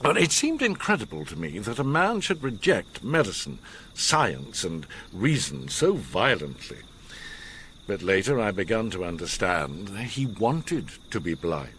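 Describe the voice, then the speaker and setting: Older learned gentleman, radio drama. Low, animated delivery, measured pauses, grave intensity, slight background noise. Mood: grave, dramatic.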